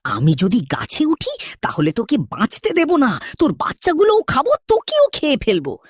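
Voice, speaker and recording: A woman voicing a sly, wicked, scheming fox with a raspy voice in a children's cartoon. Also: high voice, wildly swooping pitch, moderate pace, no echo, heavily acted. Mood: menacing. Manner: menacing, scheming, swooping delivery.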